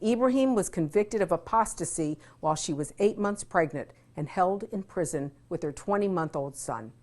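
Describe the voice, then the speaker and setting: A woman reads scripted narration in a measured, serious news style. Her voice sits slightly low. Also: animated, a little mellow. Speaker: program host.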